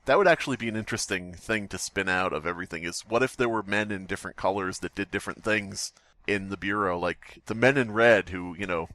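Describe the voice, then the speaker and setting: A man's voice: sits low, quick pace, pushed and bright, dry recording. A man co-hosting a podcast, chatting casually and reading aloud with irreverent, sweary joking. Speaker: podcast co-host.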